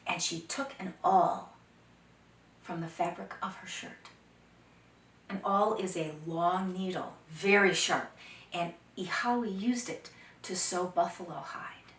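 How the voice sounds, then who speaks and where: Expressive woman storyteller in a roomy space. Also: on the low side. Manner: expressive, deliberate, drops to hushed phrases. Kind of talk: dramatic folktale storytelling for children. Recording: roomy echo, distant microphone.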